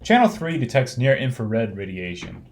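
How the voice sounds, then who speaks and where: A man narrating an instructional slide lecture, explaining things step by step in measured, clearly organised phrases. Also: mid-range voice, sing-song.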